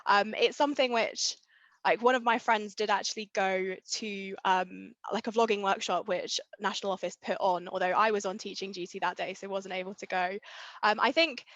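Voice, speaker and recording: An eager young woman with a loud voice chats casually about her coaching, filling her sentences with ums and kind-ofs. Her voice sits at a middling pitch. The sound is dry and a little dull, with no room echo. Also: fairly even intonation, moderate pace. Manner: chatty, many fillers like um and kind of; enthusiastic. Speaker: riding coach and blogger, interview guest. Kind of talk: casual interview chat.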